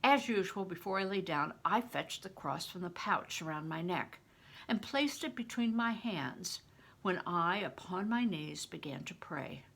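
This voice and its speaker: An older woman reading a storybook aloud as a grandmother would, deliberate and careful, narrating expressively. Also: on the low side, expressive, vocal fry, fairly bright tone, slightly rough. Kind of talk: expressive read-aloud narration with questions to listeners.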